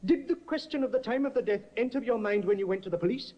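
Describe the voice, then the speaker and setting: An actor playing a sharp, persistent defense barrister cross-examining witnesses in an old radio drama, heard through a dull restored broadcast. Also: male voice, very high-pitched, fast. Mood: pressing. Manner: probing, pressing cross-examination. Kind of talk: 1950s radio acting.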